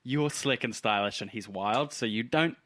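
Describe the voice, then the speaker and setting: A man's voice: mid-range voice, moderate pace, fairly bright tone, slight background noise. A man acting as game master, casually guiding players through an improvised tabletop role-playing game. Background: music.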